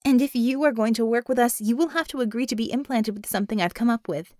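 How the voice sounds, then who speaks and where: A woman voicing a small young female magician-technician who explains things in a brisk, businesslike way, in an audiobook. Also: a little high, darkish tone, dry recording, studio quality, close to an everyday voice. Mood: matter-of-fact. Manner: matter-of-fact, businesslike, explanatory.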